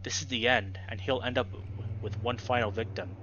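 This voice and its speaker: A young man reads story narration dramatically as a let's play host. His voice is bright and forceful at an ordinary male pitch. The recording is slightly muffled, with faint noise behind him. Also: fairly even intonation, fairly quick. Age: young adult. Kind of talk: reading a visual novel aloud with dramatic narration. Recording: dull lo-fi audio with background noise.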